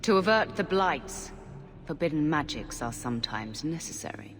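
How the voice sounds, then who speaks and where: An actress playing a determined, haughty warrior commander in a fantasy game, stern, imperious and insistent. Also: female voice, sits low, expressive, moderate pace, soft background music. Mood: earnest persuasion. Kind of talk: dramatic fantasy game acting. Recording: long stone-hall reverb.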